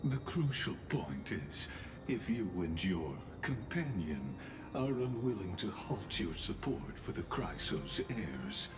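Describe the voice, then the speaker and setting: A scholarly envoy from a fantasy video game, low-voiced and slow. He is formal and deferential, with expressive swings in intonation and an enigmatic calm. Noticeable ambient noise sits behind the voice. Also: music in the background, heavily acted. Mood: guarded, persuasive. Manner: formal, deferential, measured, softly insinuating. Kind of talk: scripted video game voice acting.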